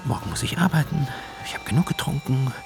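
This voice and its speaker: A bitter, restless writer wrestling with his craving in a radio play. His voice is creaky and often hushed, turned inward like a confession. Music runs under his words. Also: mid-range voice, animated, fast. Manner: introspective, confessional. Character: brooding male writer and recovering alcoholic, restless and bitter. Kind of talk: German radio play monologue.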